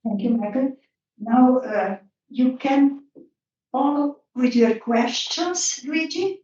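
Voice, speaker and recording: A woman's voice: high voice, slow, a little mellow. A woman moderating an open symposium discussion, speaking informally to the participants. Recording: very echoey, distant, slightly dull microphone.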